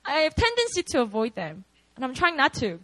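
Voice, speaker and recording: A woman's voice: high voice, wildly swooping pitch, brisk, a bit bright, poor audio. A young woman with a Korean accent preaching at a prayer meeting, candid and chatty, often laughing at herself.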